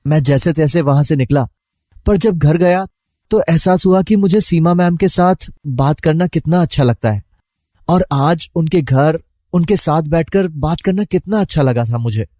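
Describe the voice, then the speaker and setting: Young male narrator for scripted first-person story, dark mellow tone, slightly high pitch, very dry recording. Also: moderate pace, dry recording. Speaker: narrator playing a college student.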